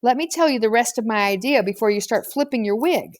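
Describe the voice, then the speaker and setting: A woman's voice: a little high, a little fast, dry recording, clean studio sound, natural voice. A woman voicing a confident, slangy sixth-grade boy with a casual, pushy manner in an audiobook. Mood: insistent. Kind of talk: audiobook character dialogue.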